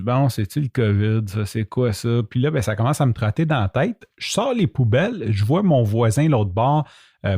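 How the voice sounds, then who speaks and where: A man's voice: medium pitch, dark tone, no room sound. Chatty Québécois man, dry close sound. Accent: Québécois French. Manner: chatty, self-mocking, laughs while telling. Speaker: podcast host. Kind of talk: casual solo storytelling.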